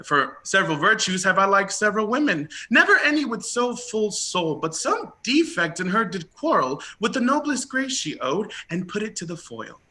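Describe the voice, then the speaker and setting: A man acts an ardent young prince in a Shakespearean romance. The voice is high for a man and very bright, with lively swings of pitch. The delivery is theatrical, courtly and effusive, full of devotion. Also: normal speed, hardly any pauses, full character voice. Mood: adoring. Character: lovestruck young prince toiling as log-bearer, ardent, courtly. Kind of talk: Shakespearean stage acting.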